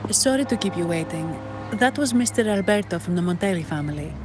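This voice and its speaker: A woman's voice: on the low side, animated, brisk, music in the background, lightly acted. A woman voicing a polite, informative young guide in a video game, explaining things courteously. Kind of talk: game voice acting.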